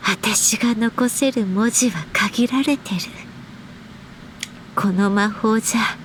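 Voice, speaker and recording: A woman playing a gentle, wistful storybook author in a game, speaking in a measured, reflective way with breathy pauses. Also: a little high, a little hiss or hum, natural voice, rough recording. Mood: earnest, subdued. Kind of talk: Japanese game story voice acting.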